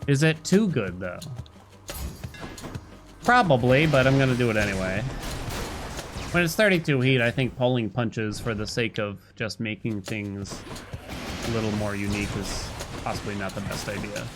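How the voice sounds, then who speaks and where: A man's voice: fairly low pitch, lively intonation, moderate pace, pauses often. A man giving casual live video game commentary, thinking aloud as he plays. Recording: music behind the voice. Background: game music.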